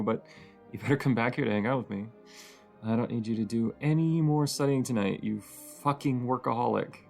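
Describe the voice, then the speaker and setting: Woman as a creaky, sleepy, teasing roommate. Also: darkish tone, heavily acted. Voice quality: sleepy, grumbly low voice with creak. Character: cozy, teasing young roommate with a shy crush. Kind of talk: intimate audio roleplay, casual and cozy.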